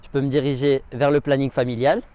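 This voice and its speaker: A man's voice: medium pitch, flat delivery, quick pace, mellow. A man presenting a recap, narrating in the first person.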